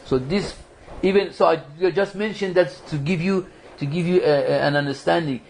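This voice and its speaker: A man's voice: a little high, normal speed, creaky, darkish tone, a little hiss or hum, poor audio. A man giving a religious study lecture to a small group, talking conversationally, quizzing the audience and often restarting his sentences. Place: lecture room at a community foundation.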